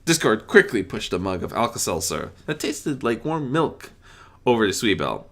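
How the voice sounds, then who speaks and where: A man's voice: fairly low pitch, very animated, moderate pace, fairly bright tone. A man reading a scripted story aloud as its narrator. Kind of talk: scripted fan-fiction narration.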